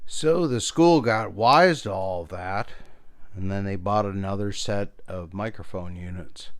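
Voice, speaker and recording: A man's voice: on the low side, smooth, no echo, clean studio sound. A middle-aged American man talking casually, meandering through hobby explanations and anecdotes in an informal, self-deprecating way. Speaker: hobbyist radio video presenter.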